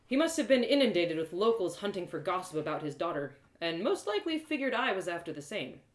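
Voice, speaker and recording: A woman reading a first-person fantasy story aloud in a dramatic, expressive storytelling manner. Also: fairly low pitch. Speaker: storyteller, author reading live. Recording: roomy echoing sound.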